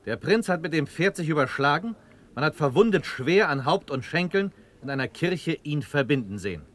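An actor playing a loyal, respectful officer in a classical verse radio drama, speaking formally and earnestly. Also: male voice, on the high side, big pitch swings, moderate pace, a bit bright. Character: loyal, respectful officer reporting to his sovereign.

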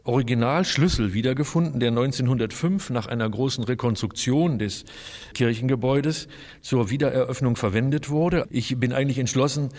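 A man speaking deliberately and pausing now and then. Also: medium pitch, a little mellow, big-room sound.

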